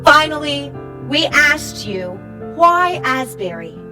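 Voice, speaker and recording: A woman reading a scripted church summary in a measured way, as a narrator. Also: sits high, very animated. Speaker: narrator, church project team member. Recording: music playing behind the voice. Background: music.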